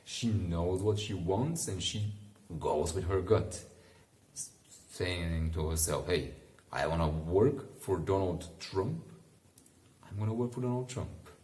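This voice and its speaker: Man, slow pace, dark soft-edged tone, relaxed read-aloud, casual asides, echoey room. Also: deep voice. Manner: unhurried, musing, informal. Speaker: bedtime story reader and commentator.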